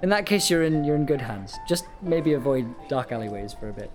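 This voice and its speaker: A man playing a calm young helper of ghosts, speaking in a gentle, reassuring and wry way. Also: mid-range voice, brisk, over music, lightly acted.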